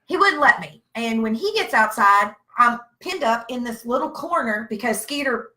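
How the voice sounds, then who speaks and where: A woman's voice: on the high side, animated, normal speed, pushed and bright. A woman from Virginia with a Southern US accent, chatting and telling stories in a blunt, folksy way. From Virginia, United States. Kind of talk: casual chat, storytelling.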